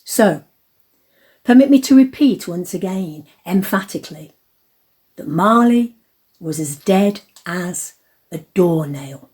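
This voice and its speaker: A woman narrates a storybook drama. Her voice is husky and mellow, and she tells it with deliberate emphasis. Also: lowish voice, animated.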